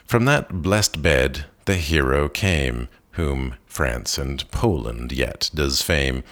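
A man giving a slow, expressive reading of a long poem, with measured phrasing and an occasional restart. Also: very deep voice. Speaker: poetry reader. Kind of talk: slow dramatic poetry reading.

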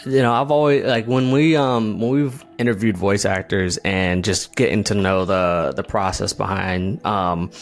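A man with a fairly low voice talks as a podcast host. His style is casual and conversational, and he feels his way through each thought with halting, reflective phrasing. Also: steady tone.

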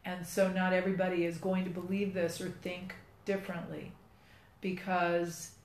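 Low-voiced woman, persuasive, echoey room. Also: darkish tone. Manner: earnest, persuasive, rambling with many asides. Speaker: skin care company founder and podcast host. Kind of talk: casual podcast chat.

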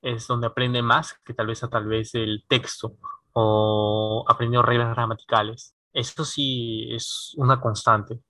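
A man in a casual conversation, musing aloud in a lively way and laughing now and then. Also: medium pitch, unhurried, dry recording.

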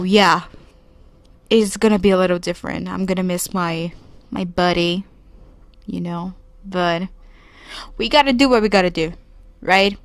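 A young woman from Brazil speaks English, hosting a podcast alone in an earnest, reflective way. She talks at an unhurried pace with pauses as she searches for words. The recording is dry and close, with no room sound. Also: mid-range voice, clean tone, dry recording. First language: Portuguese. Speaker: podcast co-host. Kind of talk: solo podcast commentary.

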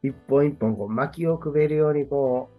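A man's voice: a little high, moderate pace, phone-quality sound. Man around forty co-hosting radio over music. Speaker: radio show co-host, copywriter. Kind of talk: casual chat with scripted show intros. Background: background music.

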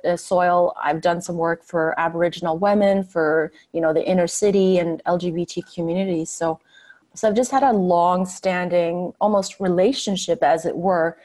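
A woman's voice: fairly low pitch, darkish tone. A woman who grew up in Scandinavia, a guest telling her story in an articulate, conversational way. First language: a Scandinavian language. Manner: articulate, storytelling, with light laughs. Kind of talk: interview conversation.

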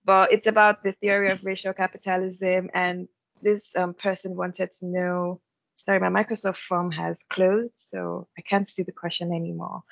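A woman's voice: fairly low pitch, level tone, moderate pace, vocal fry, no echo. A woman moderating an online panel, chatty and giggly, with loose phrasing. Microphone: video call. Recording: dry, muffled video-call audio.

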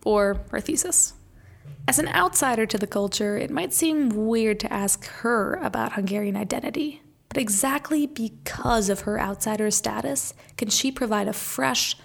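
A woman's voice: a little high, vocal fry. A woman working as an interviewer and narrator, moving between scripted narration and casual questions.

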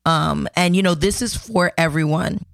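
A woman's voice: low voice, normal speed, gravelly, no echo, clean studio sound. Upbeat, affirming woman co-host. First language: Haitian Creole. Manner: upbeat and affirming, with hesitant fillers. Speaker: podcast co-host and project founder. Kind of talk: casual podcast chat.

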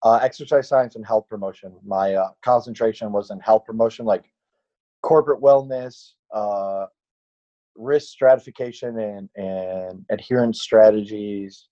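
A man's voice: lowish voice, unhurried, dark tone. Late-20s male trainer, casual rambling podcast talk, muffled lo-fi sound. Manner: rambling, many fillers and restarts. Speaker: fitness trainer.

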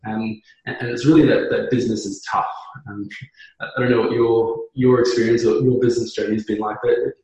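A man's voice: medium pitch, steady tone, a little fast, darkish tone, very echoey, low-quality recording. A man in his thirties from New Zealand, a business co-founder talking earnestly as an interview guest, with many fillers and restarts. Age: 30s. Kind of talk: conversational podcast interview.

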